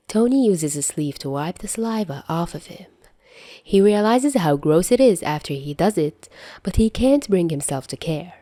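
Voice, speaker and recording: A woman's voice: deep voice, dark tone, no echo, clean studio sound. A woman reading scripted fiction aloud as the narrator. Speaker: podfic narrator.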